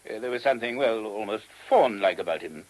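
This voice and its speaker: Actor as courteous, earnest British abbot, old radio play. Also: male voice, fairly low pitch, animated, a little fast, darkish tone, natural voice. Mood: troubled, courteous. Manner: hesitant, genteel, old-fashioned English turns of phrase. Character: courteous, earnest Father Abbot of a monastery, firm on principle. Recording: old radio drama recording.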